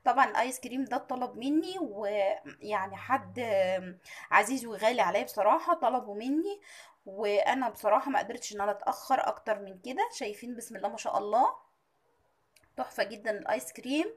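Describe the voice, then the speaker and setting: A woman's voice: fairly high pitch, animated, slightly rough. Chatty Egyptian woman with a tired voice. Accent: Egyptian Arabic. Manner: chatty, filled with drawn-out "aah" hesitations. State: tired, slightly strained voice. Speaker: cooking channel host. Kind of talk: home cooking tutorial voice-over.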